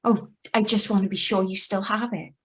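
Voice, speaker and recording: A woman playing a young wife who calmly confesses to murder in a live reading of a radio thriller. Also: medium pitch, fairly quick, lightly acted. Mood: cool. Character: young wife Patrice, calm remorseless killer confessing. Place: video call reading from home.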